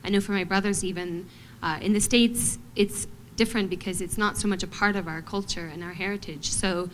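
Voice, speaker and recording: Thoughtful woman from Montana, measured. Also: mid-range voice, fairly even intonation, slight background noise, rough recording. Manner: thoughtful, measured, many fillers. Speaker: guest artist, ballet dancer. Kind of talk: panel discussion answers.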